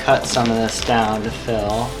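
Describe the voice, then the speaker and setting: A man's voice: on the low side, little pitch movement, measured pace, over loud music, rough recording. A man giving a casual, easygoing hands-on tutorial as the host of a DIY build video. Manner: informal, easygoing.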